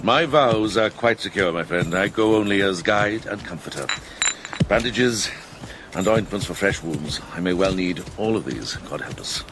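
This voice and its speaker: A man acting a wise, steadfast medieval monk in a radio drama, measured and earnest, a gentle but firm counsellor. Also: low-pitched, animated. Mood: calm. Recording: radio drama with clear background noise.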